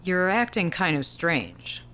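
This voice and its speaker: A woman's voice: on the low side, huge pitch swings, slow pace, fairly bright tone, a little hiss or hum, exaggerated voice. A young woman playing a teasing, caring bandmate.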